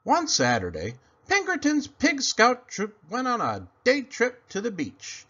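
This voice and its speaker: A man reading a children's picture book aloud in an animated, dramatic, sing-song way. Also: very high voice, measured pace. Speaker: read-aloud storyteller. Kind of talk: expressive children's story reading. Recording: dull lo-fi sound.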